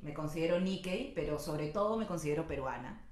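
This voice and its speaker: A woman from Lima giving thoughtful documentary testimony with pauses. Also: low-pitched, lively intonation, a little mellow, roomy sound, a little hiss or hum. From Lima, Peru. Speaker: interviewee.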